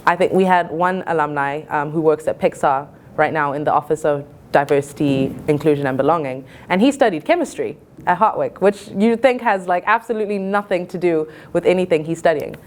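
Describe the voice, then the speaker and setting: Woman, early twenties, low pitch, thoughtful, earnest, reflective student interview. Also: brisk, few pauses. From Zimbabwe. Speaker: college student. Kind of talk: reflective interview-style talk.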